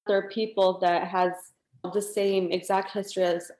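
A woman's voice: medium pitch, little pitch movement, moderate pace, darkish tone. A woman hosting an interview, asking questions in an encouraging, conversational way. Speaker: interview host. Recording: echoey room.

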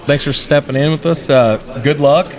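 A man's voice: fairly high pitch, fairly even intonation, normal speed. A man in his thirties co-hosting a podcast, chatting in a friendly, rambling way and praising his guests at a busy convention hall. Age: 30s. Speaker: podcast co-host. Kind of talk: casual interview chat. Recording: crowded event hall. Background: crowd chatter.

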